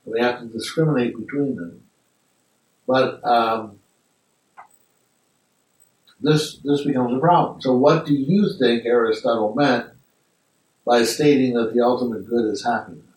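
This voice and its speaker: A man's voice: mid-range voice, unhurried, pauses often, darkish tone. A man leading a philosophy class discussion, thinking aloud in a reflective way with some restarts. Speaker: discussion group leader. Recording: echoey room, mic far off.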